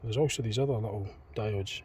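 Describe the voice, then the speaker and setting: A man thinking aloud in an unscripted hands-on demonstration, speaking in a hesitant, tentative, halting way. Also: fairly low pitch. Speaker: hobbyist demonstrator in a homemade teardown video. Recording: distant mic, clearly noisy homemade recording.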